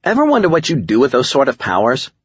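A man's voice: mid-range voice, wildly swooping pitch, quick pace, breathy edge, dry recording, lo-fi sound, pitched above the performer's own voice. A man voicing a flippant, wisecracking young woman in an audiobook, with theatrical colloquial delivery. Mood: wry. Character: sassy, wisecracking young woman, dramatic sidekick friend.

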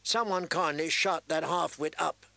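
A man's voice: fairly high pitch, fairly even intonation, unhurried, gravelly, bright, forceful tone, no room sound, pitched above the performer's own voice, heavily acted. A man voicing an arrogant young prince who mocks and threatens his captives. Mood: commanding. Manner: sneering, commanding.

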